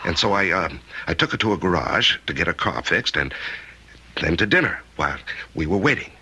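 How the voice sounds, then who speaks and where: An actor playing a smitten, hesitant grown son, earnest and halting, in an old-time radio drama. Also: male voice, low-pitched, very animated, bright, forceful tone, slightly rough, exaggerated voice. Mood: earnest explaining. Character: smitten, sheepish grown son, newly married.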